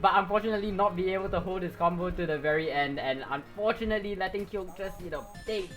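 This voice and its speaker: This man is a live esports caster who gets excitable at the big moments. His voice is wearing hoarse from the shouting. Game music plays clearly underneath him. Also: sits high, fast talker. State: going hoarse. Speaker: esports commentator.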